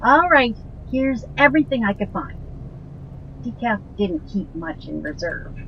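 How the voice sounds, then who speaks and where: A woman playing a cautious, anxious, loyal partner in an audio drama, speaking earnestly and in a measured way. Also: a little high, sing-song. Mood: dutiful. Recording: slightly dull sound with faint noise.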